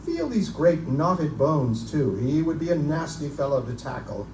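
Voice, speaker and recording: A man playing an eccentric, erudite Victorian gentleman, pompous and musing, in a live reading of gothic horror. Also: on the high side, very animated, a little slow. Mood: musing, fascinated. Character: eccentric, erudite Victorian Oxford gentleman obsessed with Egyptian relics. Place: live performance in a bookstore. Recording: echoey, distant live recording, faint noise.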